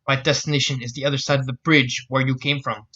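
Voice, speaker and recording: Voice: medium pitch, little pitch movement, fast talker, sharp tone, dull audio, close to an everyday voice. A storyteller voicing a polite, stoic samurai man with formal, courteous speech in a story read aloud. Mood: polite, restrained.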